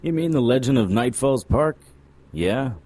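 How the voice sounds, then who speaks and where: An actor playing a cynical, world-weary private detective in a noir radio drama, wry and sardonic. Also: male voice, medium pitch, animated, measured pace, darkish tone. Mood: sardonic, impatient. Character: cynical male hard-boiled occult private detective.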